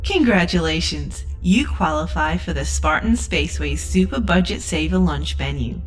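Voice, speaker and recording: A woman acts out a customer-service-obsessed female AI aboard a budget spaceship. Her voice sits a little low and stays polished, cheerful and scripted, like a saleswoman's. It is a sci-fi audio drama with music behind her. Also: lively intonation, moderate pace, low-quality recording. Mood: bright, promotional.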